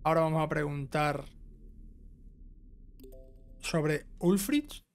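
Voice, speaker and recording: A man's voice: a little high, lively intonation, slow pace. A man giving a casual step-by-step gameplay walkthrough, instructing and checking in with the listener as he goes. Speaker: video game guide host. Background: game music.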